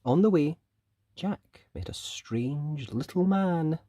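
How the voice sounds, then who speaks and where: A children's story reader and host giving animated storybook narration, with dramatic emphasis and pauses. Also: medium pitch, no room sound, studio quality.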